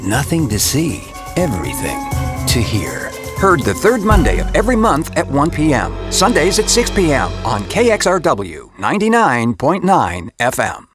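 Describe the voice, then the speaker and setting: A man's voice: fairly high pitch, wildly swooping pitch, moderate pace, music in the background. A man announcing a scripted radio show promo.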